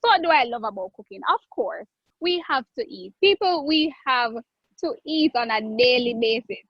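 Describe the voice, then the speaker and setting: High-voiced Jamaican woman chef. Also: expressive, dry recording, lo-fi sound. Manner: chatty, drawn-out phrases, frequent self-restarts. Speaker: guest chef. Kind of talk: live online cooking class Q&A.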